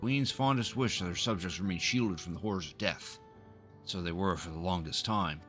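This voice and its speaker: A man's voice: low-pitched, moderate pace, a bit bright. A man livestreaming a game, reading its dialogue aloud with casual, slangy asides. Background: video game music.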